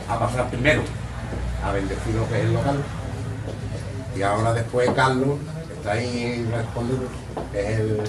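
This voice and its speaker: A man with an Andalusian Spanish accent, a shop owner giving a casual, halting welcome speech full of fillers. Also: medium pitch. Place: small shop interior, crowded. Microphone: far camera. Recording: echoey shop from far off, noisy.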